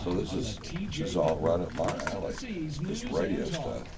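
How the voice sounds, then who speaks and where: A man hosting a radio show in a folksy, halting, casual style. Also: deep voice, huge pitch swings, heavy background noise. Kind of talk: casual radio interview and announcing.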